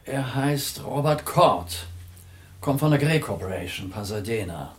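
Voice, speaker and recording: A man's voice: mid-range voice, lively intonation, a little slow, natural voice. A man playing a jovial, decisive film boss in a radio drama, curt and commanding with a wry touch. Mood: genial, wry. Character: brisk, jovial, decisive Hollywood film mogul. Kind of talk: 1980s radio drama acting.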